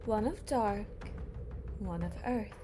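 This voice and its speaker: A woman playing a solemn, ancient lore-keeper who gravely tells old tales in a fantasy audio drama. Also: medium pitch, slow pace, natural voice. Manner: grave, storytelling. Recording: music behind the voice.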